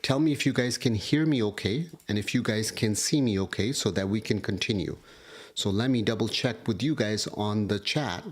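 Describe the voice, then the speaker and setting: A man, a doctor, giving a livestream health lecture, hoarse from a cold and walking through studies point by point. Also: on the low side, moderate pace. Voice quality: husky. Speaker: kidney doctor. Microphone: livestream setup.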